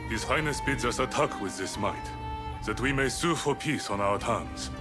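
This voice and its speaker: A man playing a commanding court envoy in a video game, speaking in a formal, brisk manner. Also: mid-range voice, lively intonation, music in the background, lightly acted. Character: formal, commanding male envoy of His Highness. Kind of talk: video game cutscene acting.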